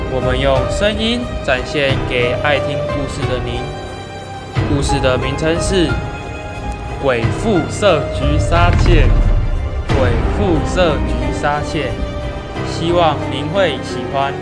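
Slow-paced teenage male radio narrator. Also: medium pitch. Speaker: announcer and narrator. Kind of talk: scripted student radio drama narration. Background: music.

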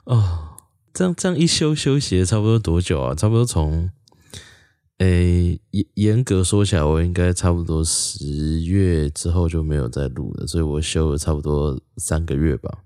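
Deep-voiced young Taiwanese man, dry close sound. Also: moderate pace, dark tone, no echo. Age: early 20s. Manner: informal, rambling, chatty. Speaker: podcast host, university student. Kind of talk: casual solo podcast chat.

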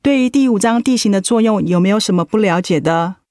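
An actress plays a caring female homeroom teacher in a radio drama. She has a mid-pitched voice, a Taiwanese Mandarin accent and a patient, coaxing way of talking. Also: quick pace, no echo, natural voice. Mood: brisk classroom authority. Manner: gentle, coaxing.

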